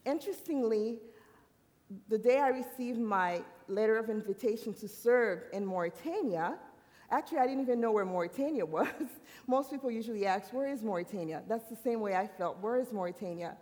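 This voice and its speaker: A woman from Haiti giving a personal faith testimony at a university worship service, earnest and halting, often restarting her sentences. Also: a little high, lively intonation, a little mellow. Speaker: worship speaker. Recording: long hall reverb.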